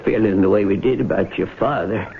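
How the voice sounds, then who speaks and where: An actor playing a calm, reassuring, fatherly country lawyer in a 1970s radio drama. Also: lowish voice, vocal fry. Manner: measured, reassuring. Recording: muffled old radio recording, faint noise.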